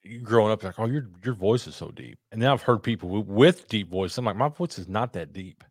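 Man, deep baritone, casual joking podcast banter, dry close sound. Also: lively intonation, fairly quick, dry recording. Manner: loose, joking, profane banter. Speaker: podcast co-host. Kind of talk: casual chat over beers.

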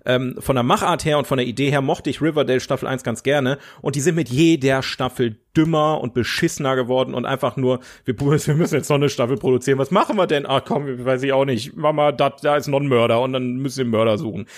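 A man's voice: medium pitch, quick pace, hardly any pauses. A young man with a German accent chatting casually as a podcast host, enthusiastic, colloquial and free with swearing. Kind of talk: casual chat about films and series.

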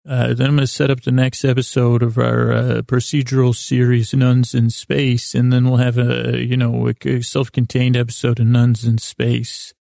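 Male podcast host for rambling bedtime monologues: heavy vocal fry, relaxed tone, halting, soothing delivery. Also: mid-range voice, no echo, studio quality.

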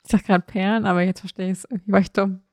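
A woman's voice: medium pitch, flat delivery, racing, a little mellow, no echo. A woman co-hosting a podcast in a casual, playful conversational style. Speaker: podcast co-host.

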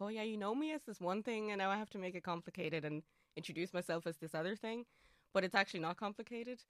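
A non-binary speaker with a feminine voice in their late twenties, from Austria with an Austrian German accent and German as a first language, talking through a thoughtful interview with many fillers and restarts. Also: female voice, low-pitched, clear, resonant tone, no room sound, studio quality. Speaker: guest. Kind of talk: casual interview chat.

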